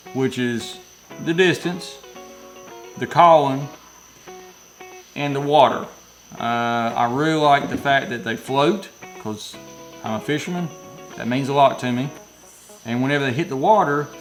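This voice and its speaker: Fishing vlogger, folksy and rambly, mid-range voice, light music bed. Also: male voice, medium pitch, low-quality recording. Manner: rambling and folksy, lots of restarts. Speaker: fishing channel host reviewing radios. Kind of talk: casual product review vlog. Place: his workshop building.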